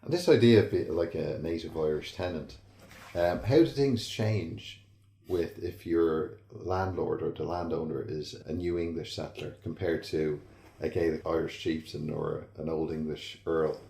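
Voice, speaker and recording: A man hosts a podcast, putting measured questions to his guest. His voice is very deep and dark, with echo from the room around it. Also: fairly even intonation, creaky. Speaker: podcast host. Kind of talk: casual podcast conversation.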